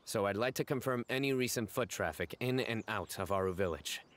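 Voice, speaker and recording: An actor playing a stern, reserved young desert judge in a video game, calm and measured. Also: male voice, on the low side, slight background noise, close to an everyday voice. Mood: serious.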